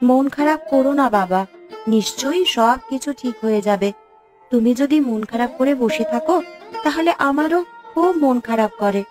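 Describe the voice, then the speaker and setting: Actress as caring young daughter, cartoon fairy tale, gentle, higher voice over soft music. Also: female voice, lively intonation, normal speed. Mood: consoling. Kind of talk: Bengali cartoon story dubbing.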